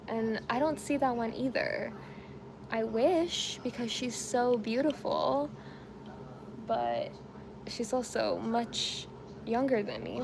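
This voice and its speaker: A woman's voice: a little high, creaky, background noise. A woman around thirty chatting casually on a home crochet stream, rambling and laughing easily as she reads comments. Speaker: crochet livestream host. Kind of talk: casual chat while crocheting, reading comments.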